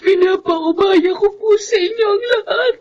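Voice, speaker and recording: A woman playing an anguished, devout grandmother in a horror radio drama, pleading in a tremulous, drawn-out way. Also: very high-pitched, darkish tone, muffled recording. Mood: tearful, praying.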